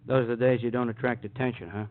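Male actor as dry, needling police sidekick, quick clipped patter, vintage radio drama with tinny sound. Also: mid-range voice, little pitch movement, natural voice. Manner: clipped, casual, drily needling. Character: breezy, sardonic, talkative police detective sidekick. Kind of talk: 1950s radio crime drama acting. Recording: old radio, phone-like band, faint noise.

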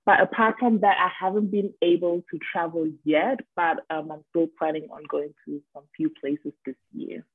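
A woman's voice: lowish voice, fairly even intonation, moderate pace, hardly any pauses, breathy edge, no echo. A woman in her twenties from Germany, a podcast guest chatting casually and openly, with frequent ums and easy laughs. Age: 20s. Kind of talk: casual interview chat. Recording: tinny phone-like line.

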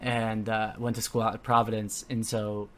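Young guy host, friendly, kinda halting, repeats himself a lot. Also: fairly low pitch, little pitch movement. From Southern California. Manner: hesitant, repeats words, friendly. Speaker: podcast host. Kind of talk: casual interview.

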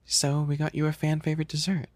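A man's voice: on the high side, monotone, fast talker, darkish tone, dry recording, close to an everyday voice. A man voicing a bashful, fussy young animal-boy maid café server in an ASMR roleplay, stammering and pouty. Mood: apologetic.

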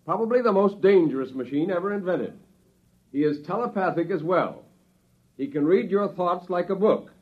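A man's voice: high-pitched, lively intonation, normal speed. A man playing an earnest, courteous professor in an old radio drama, hesitant and anxious in his pleading. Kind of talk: 1950s radio drama acting. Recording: dull vintage radio recording.

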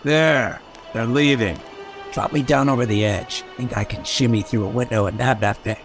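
An actor playing an irreverent, scheming rogue with a flippant, sardonic manner in a radio drama. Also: male voice, medium pitch, moderate pace, music in the background, close to an everyday voice. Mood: eager. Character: irreverent, scheming male rogue in detective mystery. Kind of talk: old-time radio drama acting.

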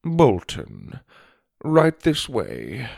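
A snobbish headmaster from a storybook, acted out by a male narrator, speaks with stretched, precise words. His pitch swoops with disdain, and there is some gravel in the voice, captured close and dry. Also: huge pitch swings, a little mellow, dry recording, heavily acted. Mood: snobbish, disdainful. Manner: haughty, drawn-out, precise delivery. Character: snobbish, fancy male headmaster of a manners school.

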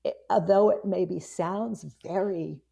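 A woman in her fifties with a hoarse voice, a guest chatting casually in an interview, rambling with many restarts. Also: on the low side, steady tone, slow pace, dark tone. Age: 50s. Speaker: guest, online marketing strategist.

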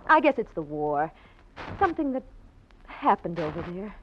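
A woman playing a devoted, anxious young wife in an old-time radio drama, with halting, emotional delivery. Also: on the low side, very animated. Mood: worried, hesitant. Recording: dull, noisy old radio recording.

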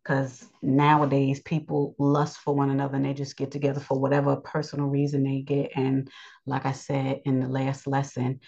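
Low, scratchy-voiced woman teaching scripture. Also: completely flat delivery, creaky, dark tone, slightly rough. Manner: rambling, many pauses and ums. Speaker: podcast teacher. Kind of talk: scriptural lesson podcast. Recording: dull lo-fi sound.

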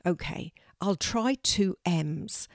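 A woman's voice: lowish voice, slow, no room sound, clean studio sound. A woman voicing an eager, earnest young girl in a children's storybook, careful and a little unsure. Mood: thinking aloud.

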